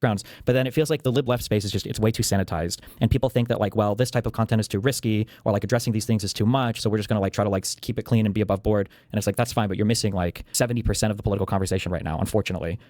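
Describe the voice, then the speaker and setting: A man with a slightly low voice talks extremely fast in casual political discussion. The sound is dry and close, and his phrasing rambles, padded with filler words. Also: fairly even intonation, a little mellow, no echo.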